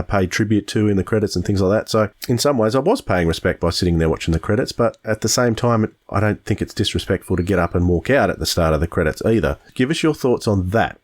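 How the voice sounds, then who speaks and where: A man with an Australian accent and a fairly low voice. He talks casually, as a podcast host does in discussion with a co-host.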